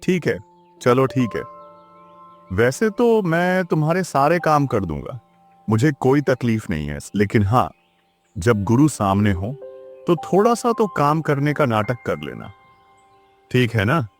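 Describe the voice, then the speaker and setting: A poor young student from a moral tale, acted by a man, timid and eager to please. His medium-pitched voice rises and falls in a very lively way, over soft music. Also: a little slow, long pauses. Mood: agreeable. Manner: pleading, deferential. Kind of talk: children's moral story voice acting.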